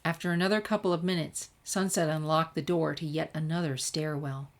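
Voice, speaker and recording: A woman narrator with a low-pitched voice reads fiction aloud. Her delivery is scripted story narration, told in the manner of an audiobook. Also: steady tone, brisk. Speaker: narrator, fan fiction reader.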